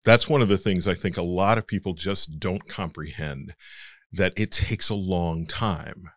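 Deep-voiced man, frank and dry. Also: a little slow, no room sound. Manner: frank, matter-of-fact explaining. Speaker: voice actor guest. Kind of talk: interview answers, conversational.

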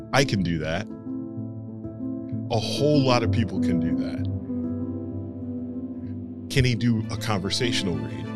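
A male voice actor being interviewed, explaining his trade in a frank, matter-of-fact conversational way. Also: lowish voice. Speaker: voice actor guest.

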